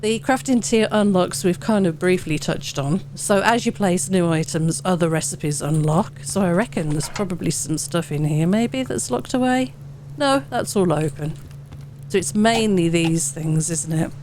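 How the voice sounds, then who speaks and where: A woman hosting a gaming channel, hoarse and wheezy from a head cold, chatting casually through update notes with lots of ums. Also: fairly low pitch, normal speed, a little mellow, slight background noise. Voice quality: wheezy, sore-throated. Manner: chatty, rambling, with many ums. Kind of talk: casual gameplay commentary.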